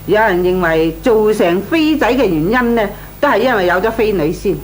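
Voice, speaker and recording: Opinionated Cantonese auntie played by an actress. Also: female voice, mid-range voice, lively intonation, normal speed, natural voice. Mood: moralising. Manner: exclamatory, moralising. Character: opinionated, disapproving neighbourly older auntie. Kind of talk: old Hong Kong radio drama acting. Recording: old radio recording, faint noise.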